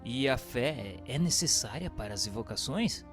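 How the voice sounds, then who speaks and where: A man playing an earnest questioner in a radio drama, putting formal questions in a measured way. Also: medium pitch, lively intonation, close to an everyday voice. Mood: earnest, inquiring. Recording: music under the voice. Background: background music.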